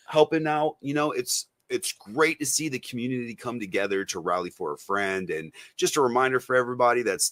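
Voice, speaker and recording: A man's voice: mid-range voice, fairly bright tone, no room sound. A man co-hosting a podcast, talking casually and giving announcements. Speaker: podcast co-host.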